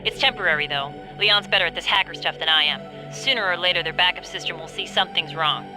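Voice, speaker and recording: Woman as a capable mission partner, video game, fairly low voice, matter-of-fact, music playing behind. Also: fast, close to an everyday voice, poor audio. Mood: focused. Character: resolute female ex-agent, capable tech partner on comms. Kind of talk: video game cutscene acting.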